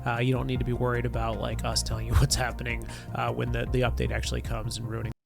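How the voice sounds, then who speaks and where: A man's voice: medium pitch, completely flat delivery, fast talker, creaky. A man in his thirties with a stuffy nose from a cold, giving a chatty, loose game update from a home studio on a desk mic. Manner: chatty, loose, lots of fillers. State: nasal from a cold. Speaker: game developer community manager. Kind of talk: casual update video. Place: home studio with neon lights. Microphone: desk podcast mic. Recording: music bed under the voice.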